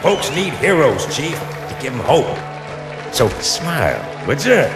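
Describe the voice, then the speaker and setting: An actor playing a wisecracking veteran sergeant with a drawling, joking delivery. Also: male voice, a little high, big pitch swings, a little slow. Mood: teasing. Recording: echoey game cutscene with music.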